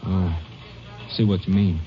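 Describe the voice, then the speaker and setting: An actor playing a wry, disillusioned small-town newspaper editor in a 1950s radio drama, on a muffled old recording. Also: male voice, low voice, fairly even intonation, a little slow, slight background noise. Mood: frank.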